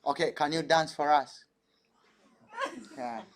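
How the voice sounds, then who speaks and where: A man's voice: a little high, level tone, takes it slowly. A man from Uganda, a dance artist, telling stories animatedly on a panel and laughing often. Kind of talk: panel discussion.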